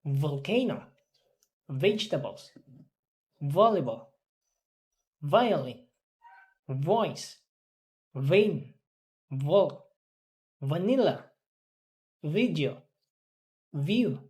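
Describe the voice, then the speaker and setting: An English student carefully reading words and sentences aloud, repeating them deliberately as in a pronunciation drill. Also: medium pitch, sing-song, a little mellow. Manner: deliberate, repeating after a teacher.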